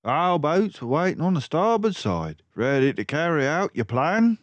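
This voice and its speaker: A man's voice: a little high, sing-song, normal speed, no echo, muffled recording, pitched above the performer's own voice. A man voicing a dutiful mouse police constable in a storybook, formal and respectful. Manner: formal, deferential.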